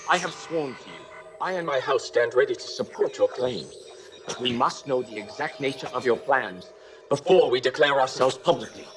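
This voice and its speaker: An actor playing an impatient, fervent Klingon house lord in a video game cutscene, forceful and declamatory. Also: male voice, mid-range voice, lively intonation, normal speed, over music, close to an everyday voice. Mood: earnest, insistent.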